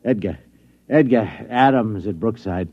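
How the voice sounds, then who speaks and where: An actor playing a flustered security chief who stammers and repeats himself, in a 1950s radio drama. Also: male voice, on the low side, measured pace. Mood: pleading. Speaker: security chief at an atomic laboratory. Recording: dull old radio recording.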